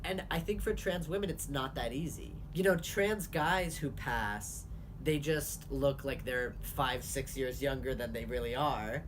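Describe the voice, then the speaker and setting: A young man hosting his vlog, talking candidly in a casual chat with quick asides. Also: medium pitch, a little hiss or hum. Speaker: vlogger host.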